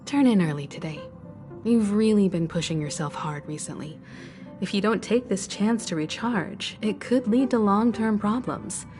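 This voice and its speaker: An actress playing a caring woman crew member who gently advises a younger companion to rest, in a game. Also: mid-range voice, moderate pace, faint music behind, lightly acted. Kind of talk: game voice acting.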